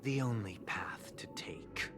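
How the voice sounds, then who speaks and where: Slow, solemn idealist leader. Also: male voice, on the low side, in a whisper, music in the background. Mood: composed, resolute. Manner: formal, courteous, ominously measured. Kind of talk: dramatic video game voice acting.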